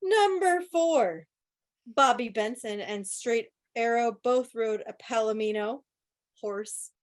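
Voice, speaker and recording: A woman's voice: fairly high pitch, takes it slowly. A woman hosting a quiz in a casual, chatty style, with wry joking asides, speaking on an online video meeting. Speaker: quiz host. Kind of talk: casual live trivia commentary.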